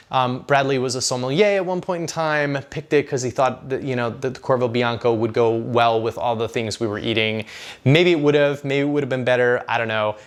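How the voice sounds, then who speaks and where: A man with a mid-pitched voice hosts a food vlog. He tells his restaurant review like a story to a friend, chatty and full of enthusiasm, in a loose, casual style. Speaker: food vlog host and narrator.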